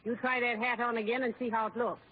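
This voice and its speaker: An actress playing a bossy, determined, no-nonsense wife in an old-time radio comedy, brisk and firm in manner. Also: female voice, on the high side, flat delivery, breathy edge. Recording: tinny, faintly noisy old radio broadcast.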